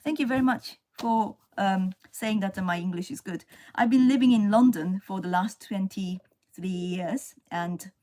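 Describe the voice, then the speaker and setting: Slow-speaking Japanese woman streaming live. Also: medium pitch, mellow. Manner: unhurried, stops often with fillers, greets viewers. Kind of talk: casual live-stream chat.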